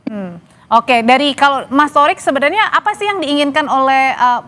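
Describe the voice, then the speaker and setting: A woman's voice: high-pitched, lively intonation, normal speed, fairly bright tone. A woman hosting a television panel interview, putting questions to guests. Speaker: television talk show host.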